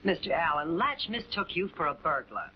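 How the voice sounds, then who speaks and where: Indignant lady sticking up for someone, very lively, old muffled noisy 40s tv. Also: low voice, moderate pace. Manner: protesting, indignant. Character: indignant woman protective of accused man. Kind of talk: 1940s television crime drama acting. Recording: dull, noisy 1940s film soundtrack.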